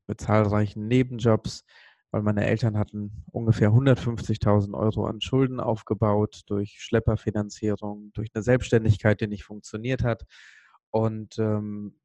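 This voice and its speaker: A man from Sri Lanka speaking earnestly and with emphasis on a motivational podcast, pausing for weight as he tells a personal story. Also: fairly low pitch, mellow, no echo.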